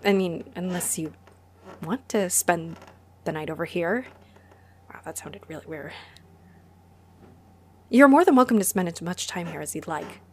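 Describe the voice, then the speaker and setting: A woman's voice: on the low side, animated, unhurried, pauses often, slight background noise. A woman playing a friendly, easily flustered vampire who runs a cozy cafe, chatty and apologetic, in a roleplay audio drama spoken to the listener. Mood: shy, awkward.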